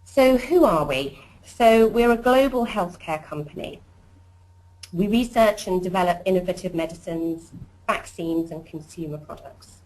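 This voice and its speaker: A woman hosting a corporate webinar as programme director, presenting warmly and chattily with frequent ums and uhs. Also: fairly low pitch, lively intonation, unhurried, low-quality recording.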